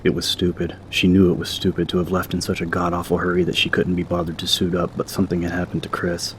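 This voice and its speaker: A man's voice: sits low, completely flat delivery, fast talker, slight background noise. A man narrating an audiobook from a script in a dramatic story style.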